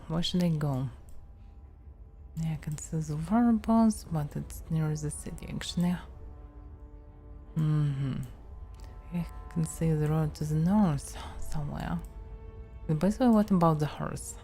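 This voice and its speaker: A woman with a Russian accent, musing softly to herself while giving casual commentary on her game stream. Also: low voice, measured pace, pauses often, soft background music. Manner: soft-spoken, musing to herself.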